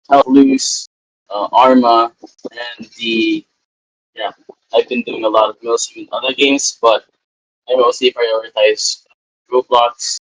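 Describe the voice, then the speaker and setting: A young man from Canada chatting casually on a podcast in an animated way, often repeating his phrases. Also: mid-range voice, no echo, rough recording. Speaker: podcast co-host. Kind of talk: casual chat.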